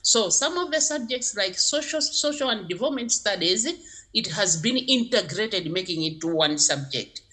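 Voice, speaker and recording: A woman's voice: medium pitch, moderate pace, breathy edge, poor audio. A woman from Malawi, a geography teacher giving emphatic interview answers. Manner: emphatic, animated explaining.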